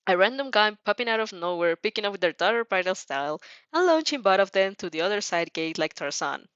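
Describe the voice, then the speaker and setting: A woman's voice: mid-range voice, lively intonation, fairly quick, fairly bright tone, clean tone, dry recording, muffled recording. A woman reading fan fiction aloud as informal storytelling, giggly and prone to breaking off with comments. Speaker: fan fiction reader and dubber.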